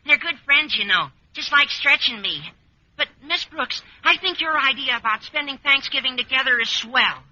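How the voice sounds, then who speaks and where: Eager teen boy in an old radio sitcom, really high cracking voice, super bright, muffled vintage recording. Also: animated, normal speed, exaggerated voice. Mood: earnest, guileless. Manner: gushing, earnest, cracking adolescent delivery. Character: eager, guileless teenage high-school boy. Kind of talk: 1940s-50s radio sitcom acting.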